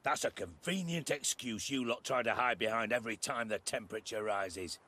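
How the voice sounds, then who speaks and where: An actor playing a cunning, blunt middle-aged spymaster in a video game, speaking deliberately and sardonically with a British accent. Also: male voice, mid-range voice, pushed and bright. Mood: indignant. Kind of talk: video game cutscene dialogue.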